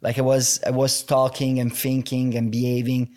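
A man's voice: medium pitch, completely flat delivery, a little mellow. A young man with an Italian accent, speaking as a guest in a candid, reflective conversation, often restarting his sentences. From Italy. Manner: halting, many restarts and "like" fillers, open and reflective.

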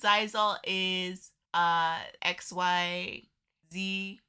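A woman's voice: on the low side, steady tone, extremely slow, pushed and bright, smooth. Congested, nasal chatty woman. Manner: laughs often, chatty. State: congested from allergies. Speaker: podcast co-host. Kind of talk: casual chat.

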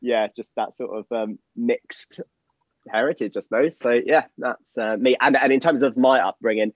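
A man's voice: mid-range voice, moderate pace, dry recording. Hesitant young man on dull audio. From London. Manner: hesitant, warm, rambling with fillers. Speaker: guest, actor. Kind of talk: casual remote interview.

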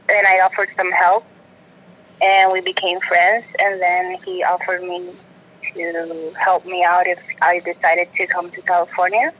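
A woman's voice: lowish voice, fairly bright tone, lo-fi sound. A woman from Puerto Rico with a Puerto Rican accent, telling her personal story conversationally as an interview guest.

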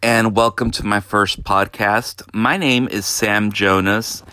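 A man from Texas, hosting a podcast from his bedroom in an unhurried, hesitant way with lots of fillers. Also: fairly low pitch, fairly even intonation, sharp tone, smooth, dry recording, clean studio sound. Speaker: podcast host. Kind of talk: casual monologue.

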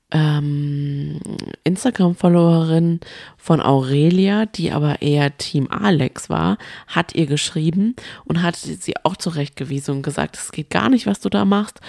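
A woman's voice: sits low, gravelly, a little mellow, no room sound, clean studio sound. A woman co-hosting a casual, gossipy chat about reality TV, telling stories with strong opinions. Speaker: podcast co-host.

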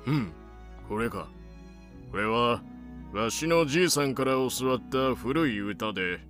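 A man voicing a gentle old grandfather in an amateur voice drama. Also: mid-range voice, animated, measured pace, faint music behind. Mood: mild, reminiscent. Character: gentle old grandfather who knows an old song.

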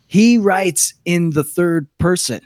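A man's voice: sits high, slow, a little mellow, dry recording, clean studio sound. An American man from Utah co-hosting a casual comedy news podcast, talking in a profane, irreverent way. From Utah, United States. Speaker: podcast co-host.

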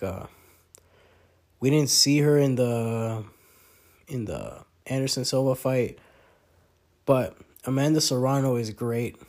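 A man's voice: mid-range voice, darkish tone. Congested young man hosting a podcast. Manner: rambling, many fillers and restarts. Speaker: podcast host. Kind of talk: casual solo podcast talk.

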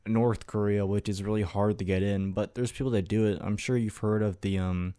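A man's voice: lowish voice, steady tone, fairly quick, mellow, smooth. A man co-hosting a podcast, chatting casually and asking curious questions, often apologizing as he cuts in. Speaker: podcast co-host. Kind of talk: casual chat.

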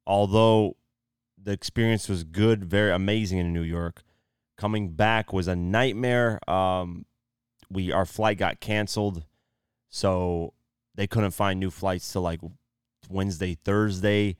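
A tired young man hosting his own podcast, losing his voice and keeping the energy low, talking casually with lots of fillers. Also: steady tone, unhurried, smooth, no echo, studio quality. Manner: laid-back, subdued, lots of fillers like "you know" and "um". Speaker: podcast host. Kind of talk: casual solo podcast talk.

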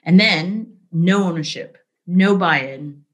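A middle-aged woman speaking as an interview guest, telling candid work stories in a lively, conversational way, often asking "right?". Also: on the low side, takes it slowly, dull audio. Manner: animated, frequent "right?" and "like", laughs easily. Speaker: interview guest, business leader.

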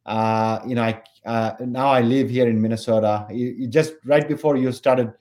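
A man in his forties from Bangladesh, a company founder answering interview questions in a relaxed, halting way with many fillers. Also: mid-range voice. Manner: hesitant, many fillers and restarts. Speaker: company founder and CEO, podcast guest.

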